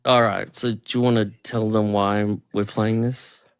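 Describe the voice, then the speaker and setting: A man's voice: on the low side, little pitch movement, measured pace, smooth, no room sound. A man co-hosting a podcast in casual banter, easygoing and enthusiastic. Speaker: podcast co-host.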